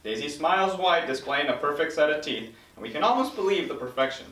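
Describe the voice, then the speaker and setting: A man's voice: fairly high pitch, animated, fast, a bit bright. Man narrating in an echoey room. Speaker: narrator reading stage directions. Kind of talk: scripted narration at a table read. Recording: roomy, distant mic.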